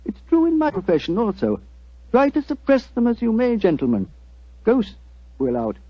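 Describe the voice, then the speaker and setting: An actor playing a small, grandiose ghost hunter, florid and ominous, in a 1940s radio drama. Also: male voice, extremely high pitch, wildly swooping pitch, moderate pace, heavy background noise, heavily acted. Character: small, colourful ghost hunter, grandiose self-styled crusader. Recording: dull old radio recording.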